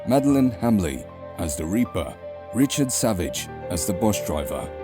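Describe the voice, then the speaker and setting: Very deep-voiced male announcer over loud music. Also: sing-song, normal speed. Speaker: credits announcer. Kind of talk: scripted narration.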